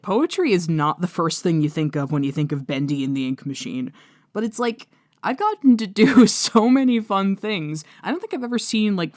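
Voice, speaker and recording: A woman's voice: low voice, darkish tone, no room sound, studio quality. A woman giving a casual, chatty thank-you outro to an audience in an enthusiastic, gushing, informal way. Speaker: writer and voice actor thanking audience.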